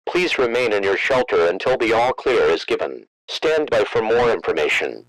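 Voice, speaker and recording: A man's voice: lowish voice, very animated, normal speed, a bit bright, dry recording, poor audio. A synthetic-sounding male voice playing an impassive emergency alert announcer, reading a scripted warning in a formal, impersonal way. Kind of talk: scripted emergency broadcast message.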